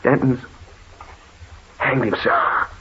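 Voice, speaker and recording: An actor playing an urgent, protective brother in an old-time radio horror drama, clipped and commanding. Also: male voice, medium pitch, fairly even intonation, very slow, bright, forceful tone, dull audio. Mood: horrified. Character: urgent, protective brother caught in haunted house. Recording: noisy, band-limited old radio recording.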